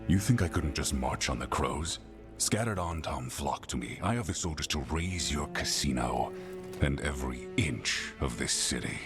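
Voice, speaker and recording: A man's voice: very deep voice, lively intonation, moderate pace, music in the background. A man acting a menacing, cultured warlord in a video game, smug and theatrical, savoring each phrase. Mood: smug, wistful.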